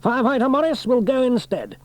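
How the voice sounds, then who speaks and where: A man's voice: very high voice, sing-song, brisk, pitched above the performer's own voice. A man voicing a stern older fire station chief in a storybook reading, curt and commanding.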